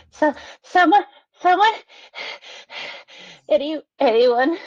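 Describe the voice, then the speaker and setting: An actress plays a frightened, injured woman in a horror drama, begging in very slow, gasping fragments. Her voice is very high, caught on a dull, lo-fi mic. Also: lively intonation, no room sound, heavily acted. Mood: desperate pleading. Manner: halting, gasping pleas. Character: frightened, wounded woman weakly begging for help. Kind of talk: dramatic script table read.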